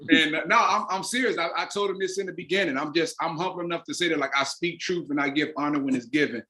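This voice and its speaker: A man's voice: a little high, quick pace, pushed and bright. A man talking casually and emphatically as an interview guest, an actor with informal, slangy speech. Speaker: stage play creator and actor, interview guest.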